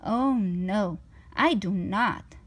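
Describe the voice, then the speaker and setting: A woman's voice: mid-range voice, drawn-out words, voice pushed down. A woman voicing a fussy, worried little pet fish in a storybook, indignant and scolding. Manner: indignant, protesting.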